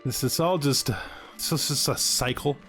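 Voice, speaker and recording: A man with a mid-pitched voice commentates a strategy game in a casual way. He thinks aloud and speaks wryly to the characters on screen. Soft music plays behind him. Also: a little slow. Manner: wry, talks to game characters. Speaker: gaming video host.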